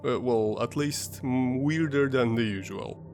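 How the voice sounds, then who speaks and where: Brief: male host, stuffy sinus-congested voice, mid-pitched, measured documentary read, faint music underneath. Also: a little mellow. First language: Polish. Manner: measured, explanatory storytelling. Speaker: history channel host. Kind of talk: scripted documentary narration.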